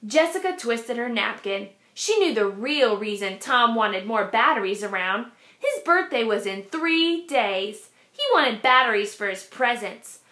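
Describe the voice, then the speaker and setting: Animated woman reading a storybook aloud. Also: a little high, a little slow. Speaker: kindergarten teacher. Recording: echoey room.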